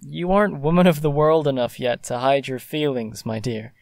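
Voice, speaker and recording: An actor playing an idle, teasing, lovesick young gentleman in an audio drama. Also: medium pitch, steady tone, normal speed, creaky, dry recording, clean studio sound, lightly acted. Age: young adult.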